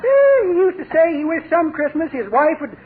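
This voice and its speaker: A man's voice: moderate pace, phone-quality sound. An actor playing a garrulous old man who tells corny tall tales, in a drawling, cackling old voice for 1940s radio comedy. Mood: folksy. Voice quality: cackling, wheezy high old voice. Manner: drawling, mishears words on purpose. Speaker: neighbor visitor. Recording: scratchy 1940s radio broadcast, loud studio audience.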